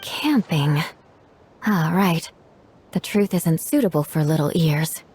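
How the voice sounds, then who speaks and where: A woman's voice: sits low, lively intonation, slow pace, a little mellow, a little hiss or hum. A woman playing a proud, anxious young knight in a video game, formal and dramatic in her delivery, fiercely protective. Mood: composed.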